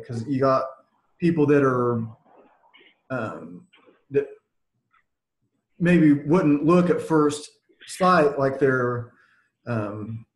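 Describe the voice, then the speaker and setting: A young man hosting an interview, chatting casually with many fillers and letting his voice trail off. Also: mid-range voice. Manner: relaxed, filler-heavy, trailing off quietly. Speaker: podcast host. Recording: echoey room.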